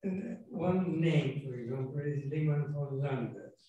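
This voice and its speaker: A man in the audience, asking a long, rambling, halting question. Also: a little high, narrow, tinny audio. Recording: echoey hall, distant mic.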